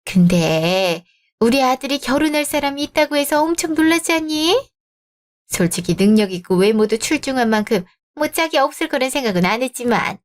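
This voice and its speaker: A condescending middle-aged mother, proud of her son and quick to belittle his bride, as a woman puts her on. Her voice sits high and lifts even higher on her sharper digs. She talks in a radio drama storybook manner. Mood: haughty.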